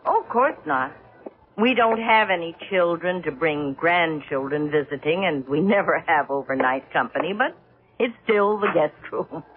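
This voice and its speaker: An actress playing a reserved, uneasy middle-aged housewife in a 1970s radio drama, polite but guarded and hesitant. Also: female voice, low-pitched, lively intonation, moderate pace. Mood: hospitable, hesitant. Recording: muffled, narrow-band vintage radio recording.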